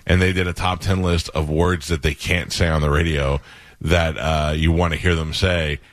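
Man, radio host, very low voice, rambling casual storytelling, dry studio sound. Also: level tone, normal speed, dry recording.

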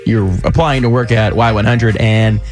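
A man's voice: lowish voice, moderate pace. A man in his thirties co-hosting a morning radio show, bantering casually and jokingly with a co-host and callers. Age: 30s. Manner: animated, jokey, puts on quoted voices. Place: radio studio. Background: music bed.

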